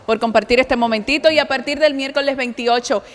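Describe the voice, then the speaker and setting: Dominican female TV host, 40s, bright upbeat delivery, slightly high voice, reverberant studio. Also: a little fast. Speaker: TV show host. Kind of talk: lively TV interview hosting. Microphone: handheld mic.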